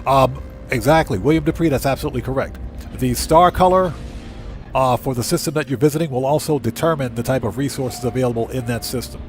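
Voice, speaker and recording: A man with a medium-pitched voice hosts a live gaming stream. He talks in an informal, conversational way, commenting on play and addressing his viewers. Faint music plays behind him. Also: fast. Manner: conversational, informal, reads out chat and answers it. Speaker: live streamer, channel host. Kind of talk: casual gaming livestream commentary, chatting with viewers. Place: indoor room at home.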